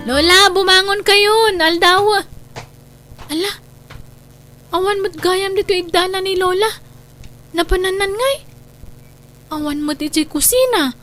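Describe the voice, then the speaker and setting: Radio drama part: actress as a tender, plaintive young daughter, extremely high voice, music underneath. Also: female voice, measured pace, pauses often, a bit bright. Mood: searching, worried. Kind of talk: Ilocano radio drama acting. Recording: music behind.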